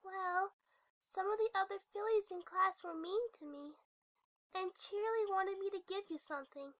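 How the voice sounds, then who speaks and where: A woman's voice: extremely high pitch, normal speed, a bit bright, low-quality recording. A woman voicing an eager little girl pony in an audio story. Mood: hesitant, pleading. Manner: childlike, eager. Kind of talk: acted audio story dialogue.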